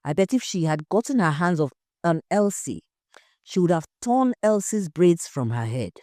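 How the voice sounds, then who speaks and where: A woman's voice: fairly low pitch, sing-song, moderate pace, darkish tone, no room sound, clean studio sound. A woman voicing a candid, chatty young washman in an audio story.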